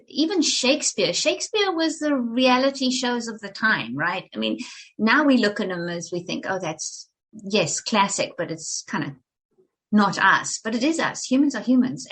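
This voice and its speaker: A woman's voice: fairly high pitch, a bit bright, rough recording. An older woman from South Africa, with a polished, English-sounding South African accent, talking animatedly as a guest in an interview, theatrical and full of restarts. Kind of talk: conversational interview.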